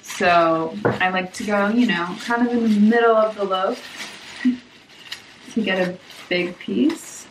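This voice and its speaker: A woman's voice: medium pitch, takes it slowly, echoey room, slight background noise, rough recording. A young woman in her twenties chatting casually through a home cooking vlog, hungover, giggly and full of likes and ums. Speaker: cooking vlogger. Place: home kitchen and dining room, indoors.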